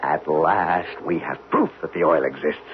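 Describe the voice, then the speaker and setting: A man acting in a 1970s radio drama as a driven, learned geologist, speaking intensely and deliberately with theatrical pauses. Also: rumbling low. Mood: excited, vindicated. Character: driven, learned geologist obsessed with solving a buried mystery. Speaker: actor in a radio play. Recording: dull, noisy 1970s radio recording.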